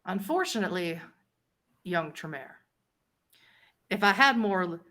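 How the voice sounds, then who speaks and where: A woman playing a haughty, powerful vampire ruler in a tabletop roleplay, measured and imperious, with deliberate pauses. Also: on the low side, animated, pushed and bright. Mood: condescending. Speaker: game master.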